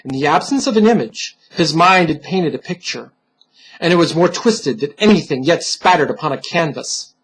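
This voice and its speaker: A man giving a solemn, theatrical reading of a gothic horror story, deliberate and dropping to hushed tones. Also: a little high, animated, husky, poor audio. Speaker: storyteller, reader.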